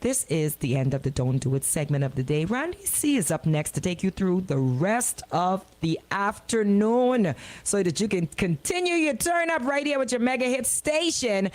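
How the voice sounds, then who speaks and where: A woman's voice: mid-range voice, big pitch swings, normal speed, darkish tone. A woman radio host giving an opinionated monologue in an indignant, emphatic, colloquial way, repeating words for effect. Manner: colloquial, folksy phrasing.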